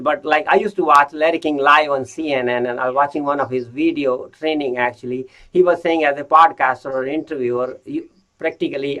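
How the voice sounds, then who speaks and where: A man hosts a podcast interview, feeling his way through each question. His voice is somewhat high, and he restarts and repeats words often before he gets the question out. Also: a bit bright, rough recording. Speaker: podcast host.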